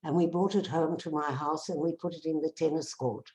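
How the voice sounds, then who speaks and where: Low-voiced older South African woman. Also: steady tone, fairly quick, dark tone, rough recording. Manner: conversational, repeats phrases while telling anecdotes. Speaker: guest speaker. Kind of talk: storytelling talk to a class. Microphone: video call.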